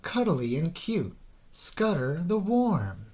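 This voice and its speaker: A man narrating a rhyming children's story in a theatrical, deliberate way, drawing out the ends of phrases. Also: a little high, huge pitch swings, darkish tone, slight background noise. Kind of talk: dramatic scripted children's story narration in rhyme.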